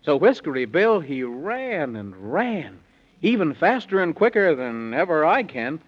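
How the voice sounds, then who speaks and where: A lively, fairly high-voiced storyteller recounts a children's tale in singsong rhyme. The delivery is theatrical and excitable, with big swings of pitch. It sounds like a muffled 1930s radio show with faint music underneath. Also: male voice, measured pace. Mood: excitable storytelling. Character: excitable rhyming bedtime storyteller. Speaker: rhyming narrator.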